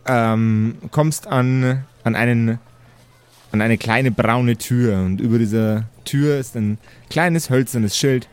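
A man improvising fantasy narration as game master, halting and repeating words for emphasis. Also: mid-range voice, steady tone, clear, resonant tone. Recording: music under the voice.

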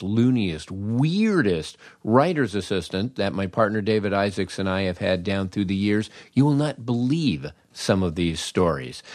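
A man's voice: on the low side, no room sound, studio quality. An older American man hosting a podcast and telling comic anecdotes in a wry, understated way. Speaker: podcast host.

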